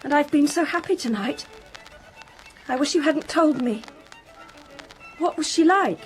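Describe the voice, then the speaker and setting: High-voiced, sensitive young daughter. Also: female voice, expressive, unhurried, low-quality recording. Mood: shocked, upset. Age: young adult. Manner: earnest, questioning. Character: sensitive, curious young upper-class daughter, easily moved. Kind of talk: radio drama acting. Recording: music under the voice.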